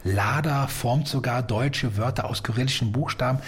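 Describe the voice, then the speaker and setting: Man interviewer, even and scripted, average pitch. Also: moderate pace, husky. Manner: calm, scripted delivery. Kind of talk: prepared interview questions.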